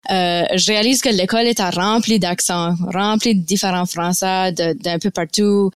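Young acadian woman, smooth dry voice. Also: medium pitch, fairly even intonation, normal speed, no room sound. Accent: Acadian French of Baie Sainte-Marie. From Acadian Nova Scotia. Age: young adult. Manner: reflective, many fillers and restarts. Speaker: podcast guest. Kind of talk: casual conversation.

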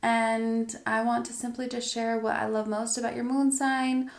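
Soft-spoken woman in an echoey room. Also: on the high side, level tone, normal speed. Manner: soft and gentle, drifting into near-whispers. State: stuffy from allergies. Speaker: astrology vlogger. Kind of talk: reflective spoken monologue to viewers.

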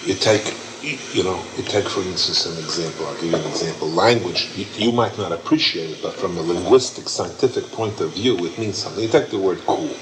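A man's voice: sits low, a little fast, few pauses, noisy background. A man leading an informal question-and-answer session, emphatic and repetitive as he explains. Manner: warm, emphatic, repeats himself. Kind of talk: informal Q&A lecture.

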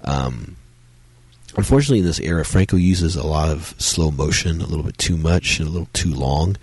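A man's voice: expressive, fairly quick, a little mellow, dry recording. A man hosting a solo film review podcast, talking loosely with lots of fillers, his voice strained by a cold. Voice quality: very deep, gravelly. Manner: rambling, many fillers and restarts. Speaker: podcast host reviewing films. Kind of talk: solo casual review talk.

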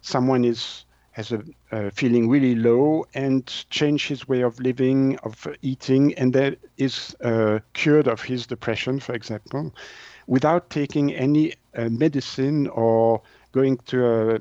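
Halting man with a French-speaking Swiss accent. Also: medium pitch, darkish tone, no room sound. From Switzerland. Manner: halting, searching for words, self-correcting. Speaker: guest, naturopath and author. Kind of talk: explanatory interview answers. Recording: muffled, dull lo-fi audio.

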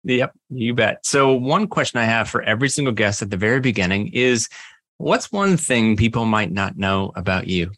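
A man hosting a podcast interview, friendly and joking in a relaxed way. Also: fairly low pitch, moderate pace. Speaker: podcast host.

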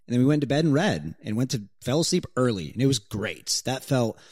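A man co-hosting a casual couple's podcast, talking in a relaxed, reflective way, swearing now and then and acting out what they said to each other. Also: medium pitch, a little fast, slightly rough, dry recording, clean studio sound. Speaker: podcast co-host.

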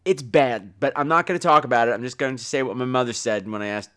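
A man hosting a podcast in a casual, chatty monologue, with a campy, theatrical manner and drawn-out emphasis. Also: mid-range voice, bright, forceful tone. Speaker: podcast host.

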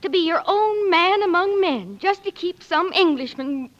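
A woman's voice: very high voice, animated, normal speed. An actress playing a blunt, proud frontier woman, forthright and scolding, in 1940s radio drama. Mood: hurt, scornful. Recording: dull lo-fi old radio recording.

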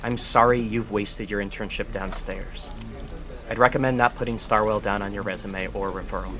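A stern foundation director. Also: male voice, fairly low pitch, fairly even intonation, moderate pace, pushed and bright. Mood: cold. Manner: stern, formal. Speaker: foundation head. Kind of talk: audio drama acting.

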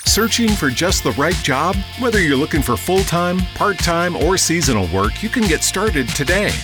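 Male commercial announcer, fairly high voice, very animated pitch, music underneath. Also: normal speed. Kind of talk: scripted job recruitment radio ad.

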